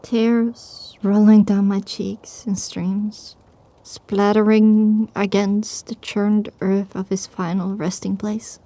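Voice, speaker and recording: A woman playing a determined young female pony who tells her story with grief, in an emotional, halting, drawn-out delivery for an audio drama. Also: mid-range voice, level tone, warm tone, dry recording. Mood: grief-stricken. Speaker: narrator. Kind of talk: first-person dramatic audiobook narration.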